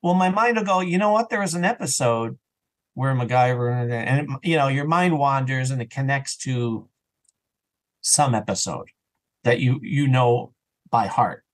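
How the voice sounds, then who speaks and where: A man chatting casually as an interview guest, animated and often voicing his own thoughts as quoted self-talk. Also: mid-range voice, unhurried. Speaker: podcast guest, audio drama creator and voice actor.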